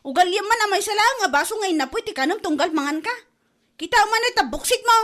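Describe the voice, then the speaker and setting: A woman's voice: very high voice, animated, fast, a bit bright. A woman acting a brazen village wife who borrows on credit, nagging and pleading in a brash way in a comedy drama. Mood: nagging, scolding.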